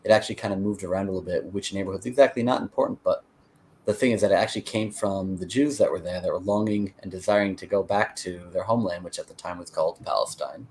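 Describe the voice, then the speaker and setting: A man's voice: low-pitched, fast, darkish tone, slight background noise. An American man in conversation as a guest, telling his own story in a rambling, explanatory way. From United States (Connecticut). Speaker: interview guest, peace activist.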